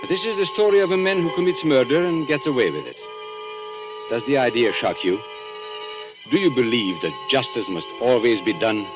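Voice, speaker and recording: An actor playing an urbane, cynical antique dealer in a 1940s radio drama, polished and theatrical in manner. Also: male voice, mid-range voice, animated, normal speed, natural voice. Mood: sardonic, reflective. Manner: polished, theatrical, courteous and sardonic. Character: urbane, cynical male London antique dealer, worldly storyteller. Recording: muffled vintage 1940s radio broadcast, music.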